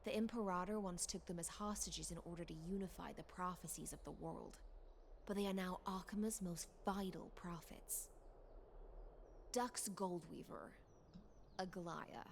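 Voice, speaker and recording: A woman voicing a proud swordfish knight commander in a game, speaking in a formal, measured, courtly way. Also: on the low side, gravelly, slight background noise.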